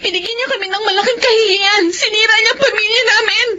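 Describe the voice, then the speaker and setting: A woman's voice: very high voice, fairly even intonation, fast talker, sharp tone, slight background noise. An actress playing a young woman doctor, a bitter and emotional daughter with a tearful, resentful manner. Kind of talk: radio drama acting. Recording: dull lo-fi with faint music.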